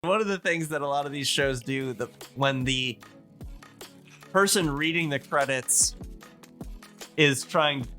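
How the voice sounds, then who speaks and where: Male podcast guest, bright forward voice on the higher side, casual and somewhat hesitant. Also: a little slow, pauses often, faint music behind. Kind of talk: casual chat.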